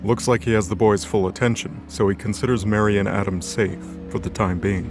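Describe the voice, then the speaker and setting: A man's voice: lowish voice, steady tone, a little fast. A man reading scripted narration for a light novel audio drama. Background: background music.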